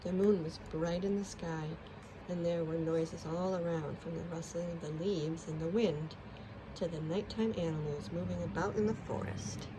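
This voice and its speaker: A woman's voice: lowish voice, fairly even intonation, normal speed, noisy background. A woman storyteller narrating a children's storybook expressively.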